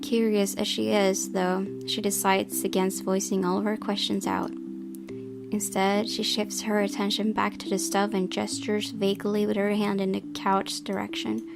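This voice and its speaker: A woman reading a visual novel aloud as its player, in expressive storytelling narration. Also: mid-range voice, moderate pace, creaky, over music.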